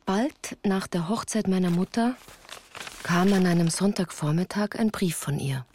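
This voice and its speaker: A woman's voice: on the low side, moderate pace, a little mellow, slight background noise. A woman reading scripted literary narration as the narrator of a radio play. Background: music.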